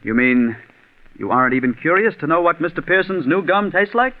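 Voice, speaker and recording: An actor with an American accent playing a coldly scheming, vengeful writer in a 1940s radio mystery, speaking deliberately. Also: male voice, fairly high pitch, lively intonation, close to an everyday voice. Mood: falsely polite. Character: coldly scheming, vengeful male radio scriptwriter turned murderer. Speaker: actor narrating and playing the lead. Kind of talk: 1940s radio mystery acting and first-person narration. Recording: tinny vintage radio recording, music behind.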